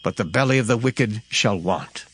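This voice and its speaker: A man's voice: lowish voice, expressive, moderate pace, a little hiss or hum. A man reading Bible verses in an emphatic, formal, dramatized style. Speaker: scripture reader. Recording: music behind the voice. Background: background music.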